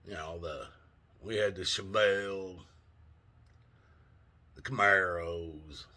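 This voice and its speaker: A man's voice: low voice, sharp tone. A man in his sixties telling a rambling, folksy story in a casual vlog, laughing often and cursing mildly. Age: 60s. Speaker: vlogger.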